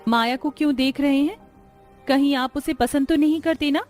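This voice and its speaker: Woman acting a modest young housewife, high voice. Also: fairly even intonation, normal speed, darkish tone, close to an everyday voice. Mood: suspicious. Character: young village-bred housewife, modest and thoughtful. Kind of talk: cartoon story dialogue. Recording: music bed under voice.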